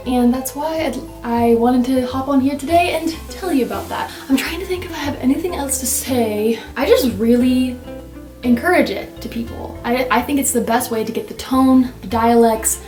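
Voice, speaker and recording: A woman's voice: sits high, normal speed, a little mellow, faint music behind. A young woman vlogging casually to camera about learning languages, upbeat and chatty with lots of "like" and "right?". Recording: echoey room, mic at a distance.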